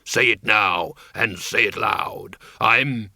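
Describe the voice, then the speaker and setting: Huge, slow, deep cheerful bogeyman. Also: creaky, pushed and bright, no echo, clean studio sound, exaggerated voice. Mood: elated. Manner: slow, friendly, matey delivery.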